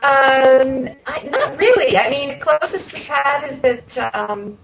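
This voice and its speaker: A woman's voice: high-pitched, lively intonation, takes it slowly. A woman talking casually as an interview guest, chatty and conversational, thinking aloud as she goes. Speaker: interview guest, video podcast host.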